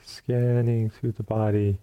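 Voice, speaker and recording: A man leading a guided meditation as a teacher, speaking in short, unhurried phrases with long pauses between them. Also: on the low side, flat delivery, clear, resonant tone, dull audio.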